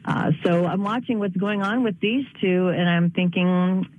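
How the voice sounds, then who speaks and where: A woman's voice: lowish voice, measured pace, no echo. Earnest woman on a tinny phone line. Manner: earnest, reflective, with frequent restarts. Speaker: guest, speaker and author. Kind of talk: radio interview by phone. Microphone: telephone.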